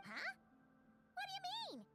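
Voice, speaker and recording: Little game sidekick creature: extremely high, squeaky, impatient, bouncing in pitch over loud music. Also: female voice, wildly swooping pitch, measured pace, full character voice. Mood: puzzled, impatient. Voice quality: squeaky, childlike. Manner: chatty, questioning, impatient. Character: tiny excitable fairy-like companion creature, childlike. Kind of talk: video game voice acting.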